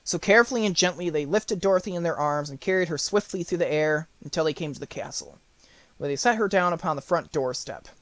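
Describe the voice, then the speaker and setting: A man narrates a storybook audiobook. His voice is on the high side, and he reads in measured, neatly phrased sentences. The sound is very dry, with no room around it. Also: dry recording.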